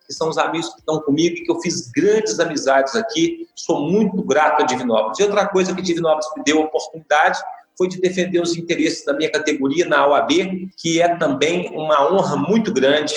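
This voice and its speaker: A man's voice: a little high, brisk. A middle-aged man from Salvador, a lawyer talking expansively as a podcast guest, with a jokey, talkative manner. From Salvador, Brazil. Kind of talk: casual interview talk. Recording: roomy echo.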